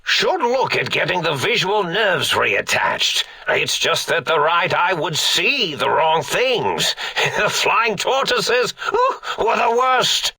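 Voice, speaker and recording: A man's voice: sits high, big pitch swings, slow, bright, forceful tone, husky, dry recording, full character voice. An actor playing an addled old male mad scientist, a brain in a robot, pompous, rambling and eccentric in a video game. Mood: rambling, doddery. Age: older. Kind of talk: theatrical video game character acting.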